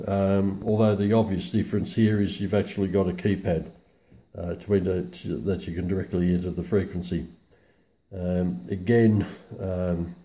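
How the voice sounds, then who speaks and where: Low, mellow man, halting delivery. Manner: hesitant, halting, matter-of-fact delivery. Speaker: presenter of a radio review video. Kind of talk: casual unscripted product walkthrough.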